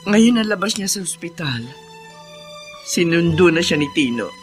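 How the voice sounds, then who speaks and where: An actress in a Filipino radio drama playing an older hacienda housekeeper, humble and emotional. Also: female voice, lowish voice, lively intonation, moderate pace, faint music behind, close to an everyday voice. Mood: remorseful, hurt.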